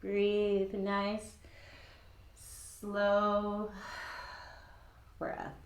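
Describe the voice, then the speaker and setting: Slow, croaky woman teaching yoga. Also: mid-range voice, reverberant. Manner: slow, calm cueing with light laughs. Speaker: yoga instructor. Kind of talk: guiding a gentle yoga class. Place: old studio building.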